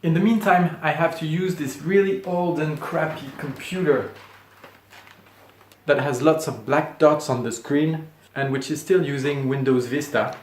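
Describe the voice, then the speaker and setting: Male video host, slightly high voice, casual address to viewers, recorded in a roomy echo. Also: a little slow, slight background noise. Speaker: channel host, sound designer.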